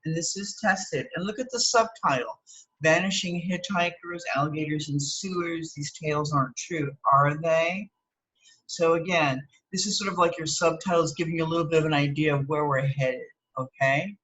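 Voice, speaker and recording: A woman's voice: low-pitched, moderate pace, a bit bright, rough recording. A woman with an American accent teaching through a read-aloud, adding explanatory asides and often checking in with "okay?".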